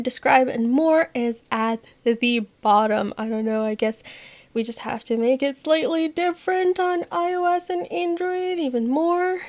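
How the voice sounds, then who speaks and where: Female tech vlogger, higher pitch, conversational app tutorial host with personal opinions. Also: darkish tone. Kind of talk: casual app walkthrough.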